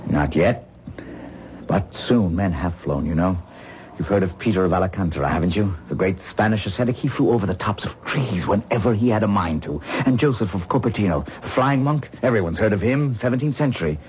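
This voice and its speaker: A man's voice: fairly low pitch, expressive, fairly quick, lightly acted. An actor playing an intense, earnest man who lectures his wife on astral projection in a vintage radio drama. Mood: rapt, lecturing. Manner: dreamy, earnest, lecturing, gently condescending. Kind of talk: 1970s radio drama acting. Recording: muffled old radio broadcast with faint hiss.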